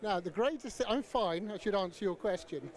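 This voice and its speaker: A man's voice: high-pitched, expressive, a little fast. A man interviewing guests on a live sports broadcast, chatty and a little rambling in his delivery. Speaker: trackside presenter interviewing owners and trainers. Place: outdoors at a greyhound track.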